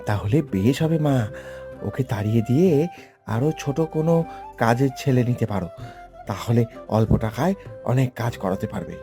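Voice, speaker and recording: A man's voice: medium pitch, animated, moderate pace, long pauses, natural voice. A man voicing a spoiled, scheming schoolboy son in a Bengali cartoon. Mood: whiny, conniving. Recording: music behind the voice.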